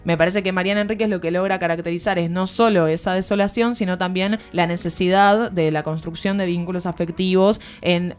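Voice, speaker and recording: A woman with an Argentine accent giving a conversational book review as a radio columnist, explaining earnestly and searching for words. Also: fairly low pitch. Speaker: literary columnist on a radio show. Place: radio studio.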